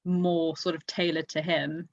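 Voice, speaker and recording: A woman in casual, excitable conversation, reviewing races as a podcast host. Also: low voice, unhurried, no echo, muffled recording. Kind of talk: casual race review chat.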